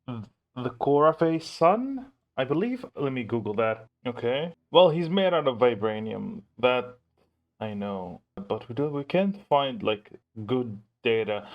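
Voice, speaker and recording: A man's voice: medium pitch, dull audio. A man hosting a reaction video, giving casual off-the-cuff commentary and thinking aloud hesitantly.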